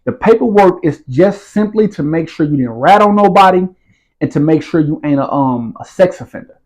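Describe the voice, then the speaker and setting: A man's voice: on the high side, lively intonation, normal speed, a little mellow. A man, telling his story as an interview guest in casual street slang and often asking whether the listener follows. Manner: streetwise slang, repeats "you feel what I'm saying".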